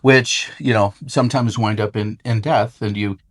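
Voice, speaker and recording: A man's voice: lowish voice, steady tone, moderate pace. A man hosting a business interview, friendly and hesitant, with many fillers. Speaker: interview host. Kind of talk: casual interview talk.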